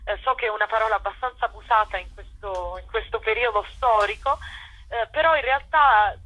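A woman's voice: on the high side, fairly quick, bright, forceful tone, slightly rough, poor audio. A woman explaining her work spontaneously in an interview, in a discursive, self-correcting way. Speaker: theatre director, interview guest.

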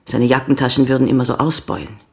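An actress playing a candid, unwavering avenging woman who confesses to police in a radio crime drama, speaking with calm, earnest deliberation. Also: rumbling low, quick pace. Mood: composed, matter-of-fact.

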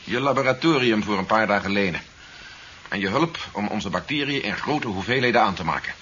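An actor playing a commanding, ruthless conspirator in a 1970s radio drama, speaking firmly and deliberately with authority. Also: male voice, fairly low pitch, animated, a bit bright. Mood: commanding, cold. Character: commanding, ruthless man leading a desperate conspiracy. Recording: muffled, hissy 1970s radio recording.

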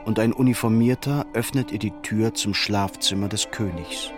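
A man narrating a literary radio drama with grave, measured, dramatic delivery. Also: fairly low pitch, steady tone, over music.

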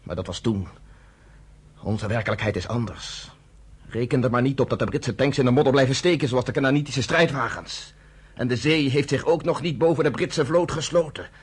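A man's voice: medium pitch, normal speed, slightly rough. A man playing Arie, a hard-headed, blunt agent who scoffs at miracles, in a radio play. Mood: bitter. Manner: blunt, sober, dismissive of miracles.

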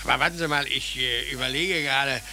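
A man's voice: mid-range voice, steady tone, brisk, creaky, pushed and bright. An actor playing a courteous, dithering, good-natured harbour policeman in a 1940s radio drama. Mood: puzzled, amused. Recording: hissy 1940s radio recording.

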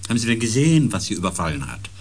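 An actor playing an urgent, forceful interrogator in a radio drama, pressing and demanding, recorded with background noise. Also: male voice, on the low side, sing-song, very fast, slightly rough.

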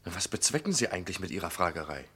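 An excitable, affable suspect in an old radio crime drama. His voice is on the low side, and he speaks with theatrical emphasis, as someone explaining himself under pressure. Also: fast talker, husky, full character voice. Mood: defensive. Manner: protesting, emphatic, hesitating when pressed. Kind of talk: mid-century German radio crime drama acting.

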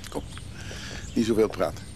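A man's voice: moderate pace, close to an everyday voice. A man playing a laconic, tender travelling companion who longs to escape, in understated radio drama style with terse lines. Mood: gently direct. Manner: terse, short replies and questions. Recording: noisy old radio play, outdoor sounds.

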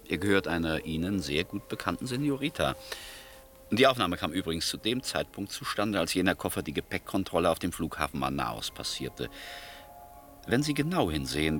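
An actor in a German radio play voicing a suave, menacing American oil boss with an urbane, mock-polite, hesitant way of talking. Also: male voice, deep voice, a bit bright. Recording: studio radio play with background music.

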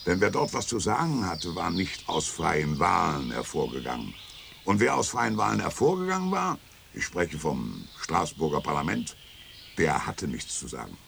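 An ironic European thinker in a radio drama, his voice very low and gravelly. He lectures emphatically, his pitch swinging widely. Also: big pitch swings, moderate pace, fairly bright tone, a little hiss or hum, heavily acted. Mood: lecturing. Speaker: European interviewee in a radio play. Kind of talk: radio play acting.

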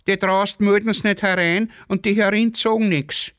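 A man's voice: high voice, fairly even intonation, normal speed, a bit bright, no room sound, studio quality, voice pushed up. A man voicing a muddled, evasive Viennese railway porter who grumbles long-winded excuses in dialect. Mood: shrugging.

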